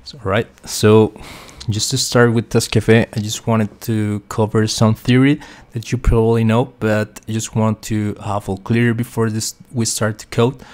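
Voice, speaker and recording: A young man from Costa Rica with a Spanish accent, a QA engineer talking through a software tutorial with many fillers and restarts. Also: lowish voice, level tone, measured pace, hardly any pauses, no room sound. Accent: Costa Rican Spanish. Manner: hesitant, many fillers and restarts, quietly talks to himself. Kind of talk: screen-share tutorial explanation.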